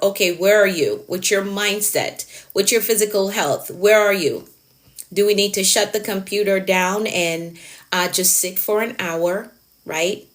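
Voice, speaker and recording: A woman coach talking on a live stream, encouraging and emphatic, repeating her key phrases and answering viewers' comments. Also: medium pitch. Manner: encouraging, motivational. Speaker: productivity coach.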